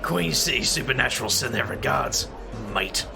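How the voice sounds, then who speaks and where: A man's voice: medium pitch, expressive, normal speed, creaky, music in the background, crowd noise. A man voicing a laid-back, wry hero who also narrates and calls people mate. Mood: casual. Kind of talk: audio drama acting with in-character narration.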